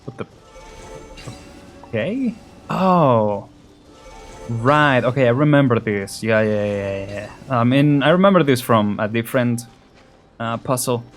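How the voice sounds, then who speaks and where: A man's voice: medium pitch, expressive, a little slow, long pauses. A man hosting a gaming video with casual commentary, offhand and chuckly, muttering asides as he plays. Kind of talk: casual let's play commentary. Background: game music.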